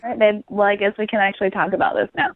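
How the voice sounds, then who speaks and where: A woman's voice: medium pitch, fairly even intonation, rapid-fire. A woman on a phone call to a radio show, having an unscripted personal conversation. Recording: thin, tinny phone line.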